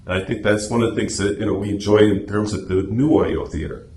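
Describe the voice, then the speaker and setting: A man's voice: lowish voice, fairly even intonation, quick pace, darkish tone. A man giving an informal club talk in a meeting room, explaining things through anecdotes. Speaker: guest speaker, radio drama society officer. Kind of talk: informal club presentation and discussion. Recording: echoey room, faint background noise.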